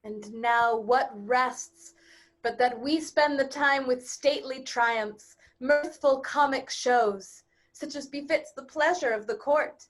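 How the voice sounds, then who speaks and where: A woman's voice: sits high, slow pace. A woman playing a victorious monarch celebrating at court, declaiming stately classical stage verse. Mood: jubilant. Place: remote video-call staged reading.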